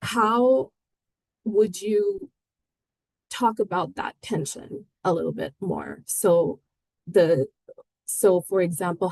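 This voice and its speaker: A woman's voice: on the low side, creaky, darkish tone, no echo, rough recording. A woman from Bangladesh moderating a panel discussion, hesitant and full of fillers.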